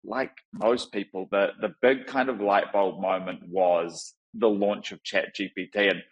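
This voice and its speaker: A middle-aged man with a New Zealand accent, a company founder answering interview questions in a thoughtful, relaxed way, with frequent fillers and restarts. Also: on the low side, unhurried, muffled recording. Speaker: founder and CEO, guest. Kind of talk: conversational interview.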